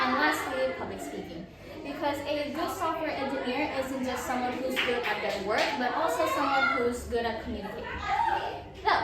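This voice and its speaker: A woman's voice: fairly high pitch, noisy background. A teenage girl from Indonesia presenting her portfolio as a student, in a chatty, upbeat way with fillers and restarts. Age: teens. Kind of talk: casual self-presentation. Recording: very echoey room, from far off, crowd behind.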